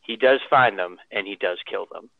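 A man's voice: on the low side, moderate pace, vocal fry, no echo. A man co-hosting a casual podcast, reading a film synopsis and chatting loosely over a tinny phone line. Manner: reads the synopsis aloud, then chats loosely. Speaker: co-host.

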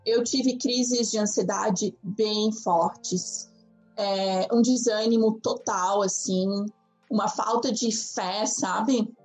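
A woman's voice: medium pitch, fairly even intonation, takes it slowly, a little mellow, breathy edge, soft background music. Argentine woman, southern Brazilian accent. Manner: emotional, candid storytelling with fillers. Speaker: podcast guest. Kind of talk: casual chat.

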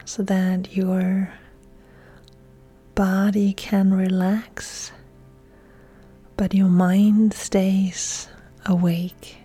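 A woman yoga instructor leading a guided relaxation in a soft, breathy, soothing, hushed voice that often nears a whisper. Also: mid-range voice, level tone, extremely slow, long pauses, faint music behind.

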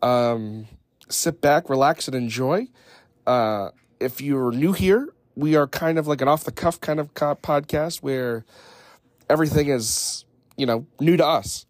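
A man hosting a casual podcast, hoarse and raspy from a head cold, rambling loosely with lots of fillers and casual swearing. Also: fairly high pitch, unhurried, no echo, clean studio sound. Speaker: podcast host. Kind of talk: casual off-the-cuff podcast chat.

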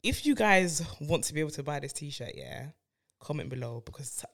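Giggly woman co-host, relaxed chat. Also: low-pitched, a little fast, vocal fry. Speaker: podcast co-host. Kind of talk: casual chat.

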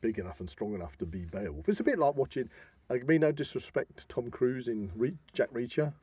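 A man's voice: mid-range voice, sing-song, brisk, dark tone, husky, no room sound. A man co-hosting a podcast, chatting casually about films like an enthusiastic fan, often trailing into half-finished sentences. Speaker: podcast co-host. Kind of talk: casual film discussion.

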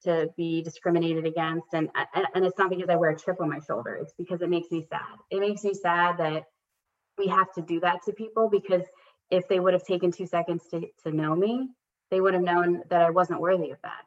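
A woman's voice: on the low side, fairly even intonation, fairly quick. A woman coach speaking as a podcast guest, earnest and reflective, with many fillers and restarts. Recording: dull lo-fi recording.

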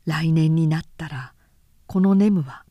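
A woman narrator plays a secret male lover, gentle and solemn. His words come slowly and hushed in a mellow, dark tone, measured and poetic, as in a literary audiobook. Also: low voice, no room sound, voice pushed down. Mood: tender. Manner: hushed, measured, earnest declarations of love. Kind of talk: literary audiobook character acting.

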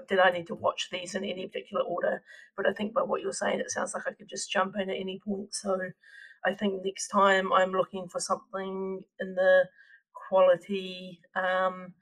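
A man's voice: high-pitched, a bit bright, rough recording. A man co-hosting a podcast, chatting casually and a little hesitantly about what he has watched. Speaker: podcast co-host. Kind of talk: casual chat.

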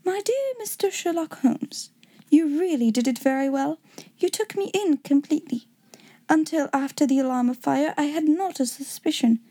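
High, composed, clever lady acted by a woman. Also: moderate pace, mellow. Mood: candid, courteous. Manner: composed, courteous, formal. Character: clever, self-assured woman writing a farewell letter. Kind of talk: storybook letter read in character.

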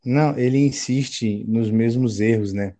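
A man from Brazil co-hosting a casual football podcast, speaking in relaxed slang at a measured pace. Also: medium pitch, no room sound. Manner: laid-back, slangy, measured. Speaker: podcast co-host.

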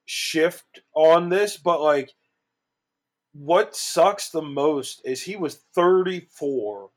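Co-host, man around 30, slightly high voice, excitable and foul-mouthed. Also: extremely slow. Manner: profane, excitable. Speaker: podcast co-host. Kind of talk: casual podcast chat.

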